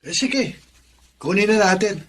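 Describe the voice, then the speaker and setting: An actor playing a practical, caring young Filipino son and brother in a radio drama. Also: male voice, high-pitched, lively intonation, unhurried. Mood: practical, hopeful.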